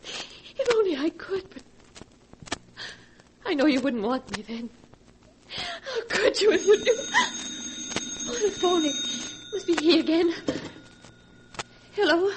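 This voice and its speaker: High-voiced, frightened radio melodrama wife. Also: female voice, sing-song, slow, long pauses, heavily acted. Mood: despairing. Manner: tremulous, pleading, melodramatic. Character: frightened, secretive wife hounded by a blackmailer. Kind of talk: 1940s radio melodrama acting. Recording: hissy 1940s radio recording.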